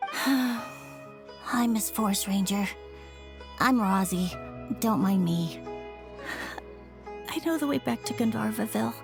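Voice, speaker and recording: A woman's voice: a little high, takes it slowly, gravelly, long pauses. A woman voicing a shy, polite little boy in an anime game, speaking hesitantly. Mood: upset, evasive. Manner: hesitant, soft-spoken, polite. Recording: music under the voice.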